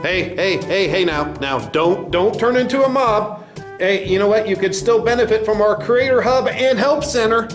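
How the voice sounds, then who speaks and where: A man's voice: sits high, expressive, normal speed. A man playing a clown corporate spokesman in a comedy sketch, with a mocking, condescending, emphatic delivery. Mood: nervous, placating. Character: smug, taunting clown corporate spokesman of a video site. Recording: roomy echo with faint music behind.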